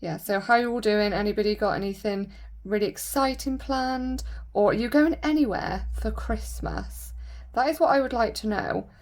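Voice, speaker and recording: A woman with a croaky voice from a sore throat, chatting casually and rambling with lots of fillers while doing her nails. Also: medium pitch. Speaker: nail vlogger.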